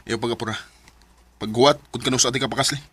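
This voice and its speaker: A man playing a cool, evasive young heartthrob in a radio drama, low-key and offhand. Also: steady tone, racing, a bit bright, slightly rough. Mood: dismissive.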